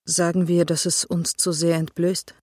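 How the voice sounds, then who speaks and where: An actress playing a serious, guarded police investigator in a radio drama, speaking earnestly and reflectively. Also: female voice, on the low side, level tone, moderate pace, a little mellow, no echo, studio quality, close to an everyday voice. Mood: earnest, vulnerable.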